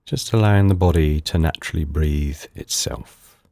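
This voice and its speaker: A man leading a guided meditation in calm, unhurried, soothing short phrases with pauses between them. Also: very deep voice, vocal fry, dry recording, studio quality. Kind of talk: guided body scan meditation.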